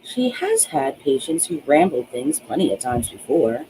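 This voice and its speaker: Expressive woman reading with lively, swooping pitch. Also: moderate pace, a little hiss or hum, poor audio. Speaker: author reading their own book aloud. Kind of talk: dramatic audiobook narration.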